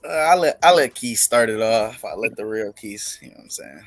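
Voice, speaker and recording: A man joining a podcast video call as a contender, easygoing and quick to laugh. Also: lowish voice, lively intonation, over music. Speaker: tournament contender. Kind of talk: casual video-call chat.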